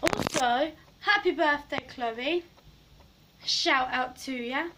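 Hoarse teenage girl rambling at a phone. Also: sits high, slow pace, background noise. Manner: rambling, giggly, drifts loud and soft. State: hoarse, losing her voice. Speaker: vlogger. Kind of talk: casual selfie vlog chat. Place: small bedroom indoors. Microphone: phone camera at arm's length.

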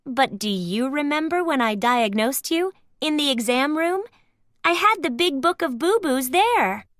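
A woman voicing a caring, cheerful little girl who doctors toys in a children's storybook, earnest and animated. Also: sits high, unhurried, no echo, clean studio sound. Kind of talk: children's storybook character reading.

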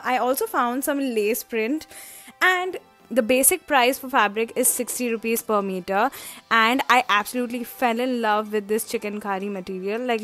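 A young woman talks to her viewers in a bright, fairly high voice, upbeat and chatty as she browses market stalls. She speaks close to a handheld camera, with soft music faintly underneath. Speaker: fashion vlogger. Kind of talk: casual shopping vlog. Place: outdoor street market and indoor shopping arcade.

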